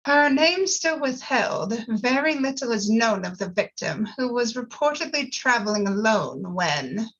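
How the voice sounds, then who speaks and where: A woman's voice: on the high side, very animated, unhurried, hardly any pauses, fairly bright tone, exaggerated voice. A woman playing a formal television news anchor at a table read, with projected broadcast delivery. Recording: dull, band-limited sound.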